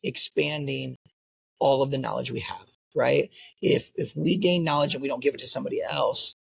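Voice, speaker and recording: A man's voice: mid-range voice, fairly even intonation, normal speed, vocal fry, husky, dry recording, rough recording. A man who runs a martial arts school, talking earnestly in an interview and often tagging his points with "right?". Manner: earnest, repeats "right?" as a tag. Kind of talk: interview conversation.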